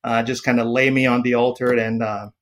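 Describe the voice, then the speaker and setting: A man in his forties from Taiwan, a psychiatrist speaking as a podcast guest, pausing often with fillers and restarts. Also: medium pitch, flat delivery. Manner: hesitant, many fillers and restarts. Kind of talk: podcast interview.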